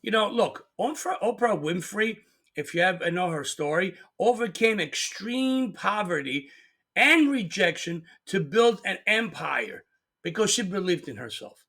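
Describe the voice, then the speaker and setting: A man's voice: high voice, very animated, unhurried, pushed and bright. A middle-aged man giving an earnest, emphatic motivational talk as host, sometimes repeating words as he presses a point.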